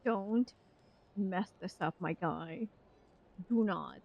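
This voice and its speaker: A woman streamer giving casual live game reactions in a slangy, expressive, giggly way. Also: medium pitch, takes it slowly, vocal fry, husky, dull audio.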